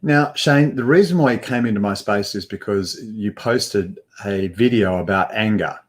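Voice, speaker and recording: A man's voice: lowish voice, normal speed. An older man hosting a live video call interview from an indoor studio, talking in an upbeat presenter manner with frequent fillers. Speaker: host. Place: indoor studio with banner backdrop. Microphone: headphones with mic, webcam framing.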